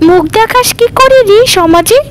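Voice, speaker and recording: Woman acting a haughty, high-pitched scolding village woman. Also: lively intonation, moderate pace, a little hiss or hum. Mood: contemptuous. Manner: sneering, berating. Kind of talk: Bengali cartoon dubbing.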